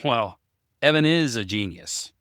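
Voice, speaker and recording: A man's voice: mid-range voice, lively intonation, unhurried, fairly bright tone, no room sound, clean studio sound. A man explaining things conversationally as a podcast co-host.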